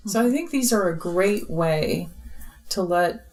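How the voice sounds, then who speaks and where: Female podcast host, lower register, earnest and reflective in casual group conversation. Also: animated, slow, a little mellow. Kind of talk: casual round-table interview.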